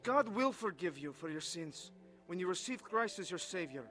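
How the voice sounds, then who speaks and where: A man's voice: high-pitched, expressive, moderate pace, a bit bright, soft background music. An actor playing an earnest, haunted young Russian defector who tells his story in a dramatized testimony. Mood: earnest conviction. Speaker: first-person narrator of a dramatized testimony. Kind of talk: dramatized scripted narration.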